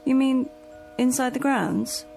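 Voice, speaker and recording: High-voiced, coaxing lady's companion. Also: gravelly, darkish tone, faint music behind, full character voice. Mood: exasperated, puzzled. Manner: coaxing, brisk, caring but scolding. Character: practical, coaxing, mildly exasperated female companion to an elderly lady. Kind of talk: radio drama acting.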